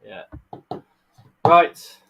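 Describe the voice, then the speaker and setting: A man's voice: extremely slow, highs cut off, slight background noise. A man in his thirties with a blocked-up, nasal voice from illness, rambling casually to livestream viewers and laughing often. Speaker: live streamer and bug keeper. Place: bug room, indoors.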